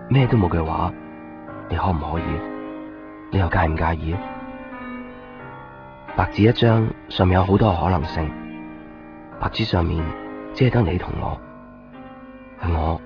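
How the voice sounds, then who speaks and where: A low-voiced, brooding young lover. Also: male voice, lively intonation, moderate pace, pauses often. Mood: wistful, tender. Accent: Hong Kong Cantonese. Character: brooding, hurt young man, lonely and evasive lover. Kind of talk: 1990s Hong Kong radio drama acting. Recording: dull 1990s radio recording, music underneath.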